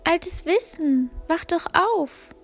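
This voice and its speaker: A joyful little girl from a storybook calls out in a high, bright voice, as a woman puts her on. She speaks slowly and brightly, with gentle music faint behind her. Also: animated, exaggerated voice. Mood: calling out.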